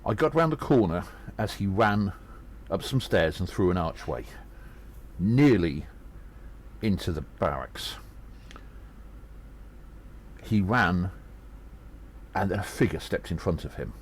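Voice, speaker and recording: A man playing a small, agile adventurer who recounts battles in an audio drama, earnest and dramatic, with halting pauses. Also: low voice, lively intonation, a little hiss or hum. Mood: urgent, curious. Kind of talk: first-person audio drama narration.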